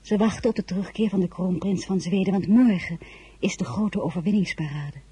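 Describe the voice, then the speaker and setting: A tender yet proud royal wife in a radio drama. Her voice is mellow and very breathy, intimate and hushed, full of feeling. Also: medium pitch, fairly quick. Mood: tense, reflective. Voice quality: soft, breathy. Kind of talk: audio drama acting with first-person narration.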